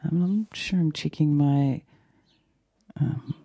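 A radio host with a croaky morning voice, talking in a meandering, thoughtful, soft-spoken way on a casual solo show. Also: mid-range voice, slow. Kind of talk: casual reflective solo talk.

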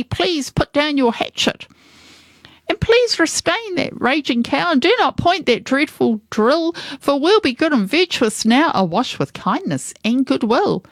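A woman's voice: high voice, fairly bright tone, no room sound, voice pushed up, exaggerated voice. Woman doing a theatrical young hoodie gang leader. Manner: mocking, theatrical, whiny. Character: sneering young male hoodie gang leader, cowardly underneath. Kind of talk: storybook verse reading.

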